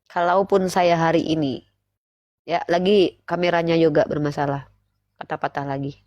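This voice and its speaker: A woman in her thirties with a fairly low voice. She speaks as the host of an online religious debate, instructing and questioning her listeners directly. The sound is dry, with hardly any room on it. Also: steady tone, moderate pace, darkish tone, no echo, muffled recording. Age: 30s. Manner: didactic, addresses listeners with rhetorical questions. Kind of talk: live online religious debate and preaching talk.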